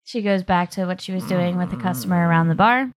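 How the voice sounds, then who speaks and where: A woman's voice: lowish voice, fast talker, crowd noise. A woman acting as game master, casually narrating scenes and questioning players in a tabletop roleplaying game.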